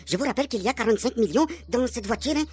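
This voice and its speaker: A man's voice: very high-pitched, expressive, very fast. A man acting an earnest, proud, sensible friend with a hesitant manner in a radio comedy. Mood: earnest, reproving. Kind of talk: comic radio serial acting.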